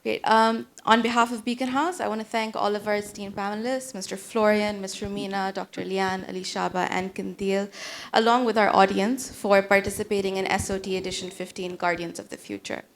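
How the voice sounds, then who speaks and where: A woman acts as host of a formal event. She reads prepared remarks politely, in a medium-pitched voice. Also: steady tone, a little fast. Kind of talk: formal scripted closing thanks.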